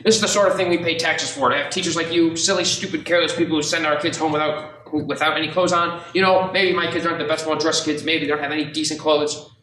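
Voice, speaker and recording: A man's voice: fairly high pitch, fairly even intonation, fast talker, sharp tone. A man playing a harried, short-tempered family man in a radio play, agitated and urgent. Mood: angry. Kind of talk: audio-only radio play acting. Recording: echoey room, faint noise.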